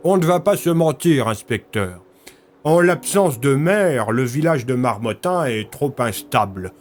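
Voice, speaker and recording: Man acting a refined, smug schemer, lively intonation. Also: medium pitch, unhurried, lightly acted. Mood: smug, persuasive. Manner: urbane, courteous, smug. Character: refined, scheming male military leader, smoothly polite. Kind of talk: French audio fiction acting.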